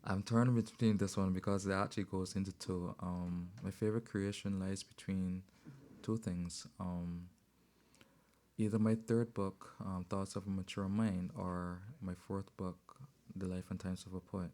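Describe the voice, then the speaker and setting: A man, a poet guest on a radio show, telling his story in a measured way with many pauses and ums. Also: deep voice, level tone. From Barbados. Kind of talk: relaxed interview talk. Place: radio studio. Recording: loud music bed behind voice. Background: music.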